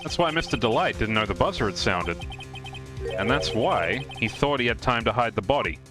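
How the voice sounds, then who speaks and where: A man's voice: medium pitch, expressive, normal speed, lightly acted. A man voicing an earnest, dogged young defense attorney in a live fan dub of a courtroom video game, arguing emphatically. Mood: confident, pressing. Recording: music behind the voice. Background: game music.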